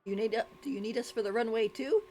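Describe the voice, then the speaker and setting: Giggly woman streamer, slightly high voice. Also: fast, background noise. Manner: giggly, amused. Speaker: live streamer. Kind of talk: casual game stream commentary. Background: faint game music.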